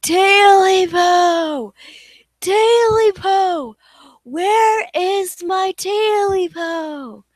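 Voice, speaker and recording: Woman reading as a small clawed night creature: high, slow, ghostly wail. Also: no echo, studio quality, exaggerated voice. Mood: menacing wailing. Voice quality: drawn-out eerie wailing. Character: moaning stubby clawed male creature hunting its tail.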